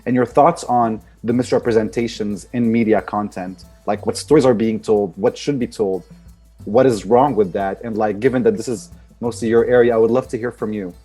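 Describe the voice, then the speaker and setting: A man's voice: lowish voice, steady tone, moderate pace, mellow, breathy edge, faint music behind. A man moderating a panel discussion, passing questions to the speakers. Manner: casual, introduces the next speaker.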